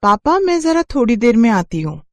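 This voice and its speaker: A cheerful young friend. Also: female voice, a little high, sing-song, moderate pace, dry recording, studio quality.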